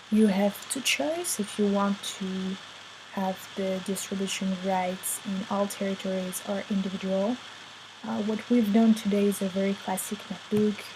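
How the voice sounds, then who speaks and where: Slow, easygoing woman tutorial host. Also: medium pitch, noisy background. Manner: relaxed, talks while working, many ums. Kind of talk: screen-recorded tutorial walkthrough.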